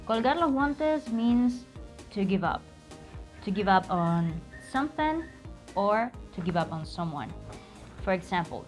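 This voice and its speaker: Cuban-accented woman, native Spanish speaker, friendly slang teacher, even mid-range voice over faint music. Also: medium pitch. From Cuba. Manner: clear, careful, repeats phrases slowly. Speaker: language teacher, channel host. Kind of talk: slang lesson to camera.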